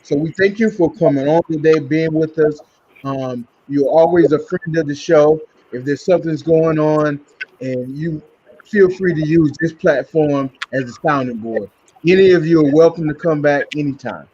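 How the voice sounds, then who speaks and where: A man's voice: fairly high pitch, moderate pace, mellow, slight background noise. A man hosting a community podcast and wrapping up a panel talk, with many fillers and repeated words. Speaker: podcast host. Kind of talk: closing a panel discussion. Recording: dull lo-fi sound.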